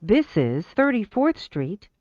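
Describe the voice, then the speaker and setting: Slow, swooping announcer in dull audio. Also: medium pitch, very animated, darkish tone, dry recording. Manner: measured, clearly enunciated, formal. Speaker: subway train announcer. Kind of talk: scripted automated transit announcements.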